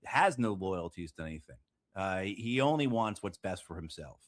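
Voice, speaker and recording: A man with an American accent and a fairly low voice speaks as a guest commentator. He talks conversationally about politics, pausing and restating for emphasis. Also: animated. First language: English. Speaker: interview guest.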